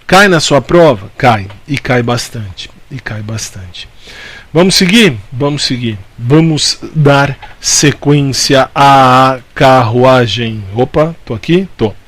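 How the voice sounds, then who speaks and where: A man's voice: mid-range voice, lively intonation, slow pace, a bit bright. A Brazilian man giving an informal exam-prep law lecture, repeating key points and answering his own questions in a didactic way. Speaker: law teacher.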